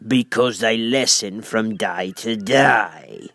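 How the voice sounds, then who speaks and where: A man's voice: on the low side, slow, fairly bright tone, dry recording, full character voice. A man voicing a brusque, scolding gryphon beast in a storybook reading, blunt, impatient and bossy. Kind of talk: storybook character voice.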